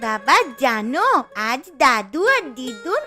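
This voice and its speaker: A woman's voice: high voice, sing-song, slow pace, sharp tone, soft background music, pitched below the performer's own voice, exaggerated voice. A woman doing a sweet, eager little girl. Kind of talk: cartoon voice acting.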